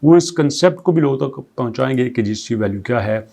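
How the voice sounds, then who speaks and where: A man's voice: mid-range voice, lively intonation, quick pace, creaky, dark tone. A man hosting a crypto news channel, explaining updates conversationally and firing off strings of rhetorical questions. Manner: reads English passages aloud, then explains them conversationally. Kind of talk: explanatory commentary.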